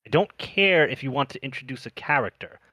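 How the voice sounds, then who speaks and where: A man's voice: mid-range voice, lively intonation, brisk, pushed and bright, dry recording. A man co-hosting a podcast, ranting in an opinionated, sarcastic and emphatic way. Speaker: podcast host. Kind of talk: opinionated panel discussion.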